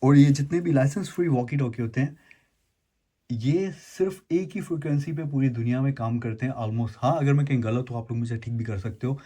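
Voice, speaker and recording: A man with a dark, soft-edged and husky voice hosts a gadget unboxing. He talks casually and informally at a medium pitch, dropping English words into his explanations. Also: a little fast. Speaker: YouTube host unboxing and reviewing gadgets.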